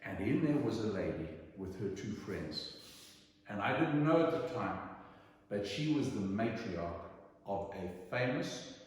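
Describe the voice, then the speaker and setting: A middle-aged man from Zimbabwe telling personal anecdotes in a theatrical, emphatic way with dramatic pauses. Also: on the low side, very animated. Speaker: storyteller. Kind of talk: animated anecdotal storytelling. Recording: echoey hall, distant microphone.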